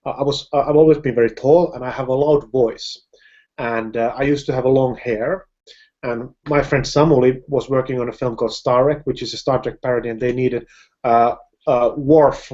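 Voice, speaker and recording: A man hosting a live video-call chat, talking in a halting way with many restarts and easy laughs. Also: mid-range voice, darkish tone. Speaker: film director hosting a live video hangout. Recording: dull lo-fi video-call audio.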